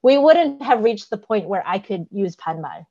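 A woman's voice: medium pitch, very animated, a little fast, dark tone, lo-fi sound. A woman co-hosting a podcast, explaining things carefully in casual chat, with frequent "um"s and a giggly manner. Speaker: podcast co-host.

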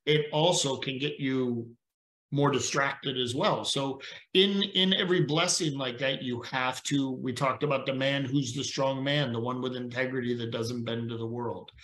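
Earnest retired cop on a roomy video call. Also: male voice, medium pitch, moderate pace, a bit bright. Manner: earnest storytelling, preachy. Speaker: podcast guest. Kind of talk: casual conversation.

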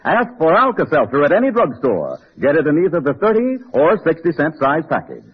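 Animated husky male vintage radio pitchman. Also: a little fast. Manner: persuasive, direct address to listeners. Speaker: radio announcer reading sponsor ad. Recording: tinny narrow vintage radio recording.

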